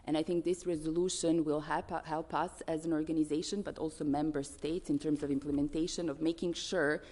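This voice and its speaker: A woman from Serbia with a Serbian accent giving a formal conference speech as an advocate, in an earnest, halting way with deliberate emphasis on listed points. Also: deep voice, level tone, dark tone. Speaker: advocacy organisation representative speaking at a conference.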